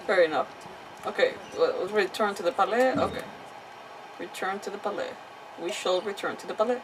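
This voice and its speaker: A woman's voice: mid-range voice, moderate pace, far from the mic, noisy background. A woman livestreaming a game, chatting casually and reacting aloud as she plays. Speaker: game streamer. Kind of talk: casual livestream gameplay commentary. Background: faint game music.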